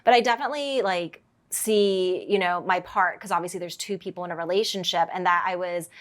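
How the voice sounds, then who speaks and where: A woman's voice: on the low side, fairly quick. A woman from Hong Kong, a podcast guest, recounting her past candidly and reflectively, with lots of "you know" and "like". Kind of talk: candid personal storytelling.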